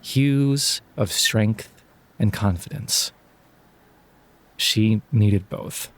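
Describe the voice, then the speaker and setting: A man narrating a scripted audio drama in a measured storytelling delivery. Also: fairly low pitch.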